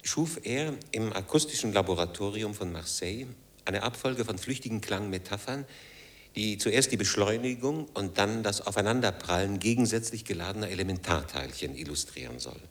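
A man's voice: lowish voice, expressive, moderate pace. An actor playing a pensive, musing man in a German radio play, speaking tersely. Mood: matter-of-fact.

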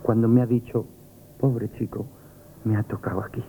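An actor in a Spanish radio comedy playing a nervous, lovestruck young grocery lad, gushing and stammering with an accent from Spain. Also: male voice, lowish voice, level tone, normal speed, gravelly, mellow, a little hiss or hum, rough recording. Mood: smitten, flustered. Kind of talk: 1970s radio comic theatre acting. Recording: band-limited vintage radio broadcast.

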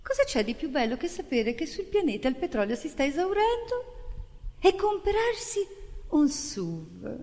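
A woman on stage playing a mocking, condescending cynic with animated, exaggerated sarcasm. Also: high-pitched, moderate pace, long reverb tail, voice pushed up. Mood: sarcastic. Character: mocking, condescending cynic needling an idealistic performer. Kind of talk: theatrical monologue in dialogue.

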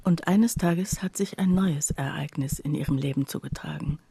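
An actress playing a skeptical woman confiding about her friend in a radio play, her voice husky and breathy and her delivery hushed. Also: sits low, normal speed, no echo, exaggerated voice. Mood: doubtful, grave. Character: skeptical female friend recounting her friend's odd behaviour. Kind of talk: literary radio play narration.

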